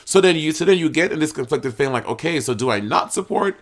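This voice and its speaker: A man's voice: on the high side, lively intonation, fast. A man co-hosting a podcast in casual chat, talking in a slangy, bantering way. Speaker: podcast co-host.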